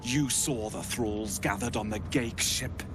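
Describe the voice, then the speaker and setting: A man's voice: lowish voice, fairly even intonation, background noise, close to an everyday voice. A man voicing an imperious githyanki inquisitor. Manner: measured, imperious and condescending. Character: menacing male githyanki inquisitor, cold and imperious. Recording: game audio with music behind.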